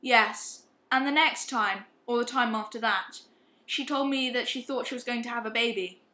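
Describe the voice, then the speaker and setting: A woman acting a guilt-ridden, hard-drinking young upper-class man in an amateur radio play, confessing in a halting, ashamed way. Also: a little high, pushed and bright. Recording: roomy, echoing amateur recording.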